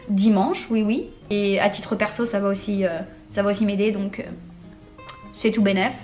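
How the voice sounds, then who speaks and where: A woman's voice: medium pitch, moderate pace, darkish tone, faint music behind. A young woman from France talking candidly in a casual vlog, using slang and laughing often. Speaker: vlogger.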